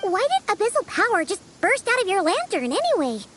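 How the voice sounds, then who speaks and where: A woman voicing a tiny, perky childlike fairy companion in a video game, squeaky, chatty and earnest. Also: huge pitch swings, fairly quick, fairly bright tone, slight background noise, full character voice. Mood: curious, concerned. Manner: casual, plain-spoken. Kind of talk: video game voice acting, scripted dialogue.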